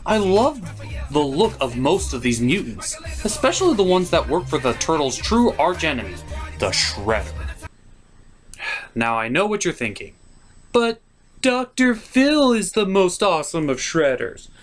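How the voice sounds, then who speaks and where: A man's voice: a little high, very animated, a little slow, faint music behind. A man narrating a scripted cartoon review as host, opinionated and emphatic.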